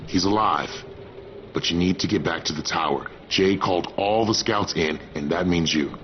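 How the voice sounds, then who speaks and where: An actor voicing a gruff, confident survivor who gives blunt, wry instructions in a video game. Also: male voice, low-pitched, moderate pace, lightly acted. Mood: grave, businesslike. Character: gruff, confident man, trap-setting survivor handler. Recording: dull, noisy game audio with engine ambience.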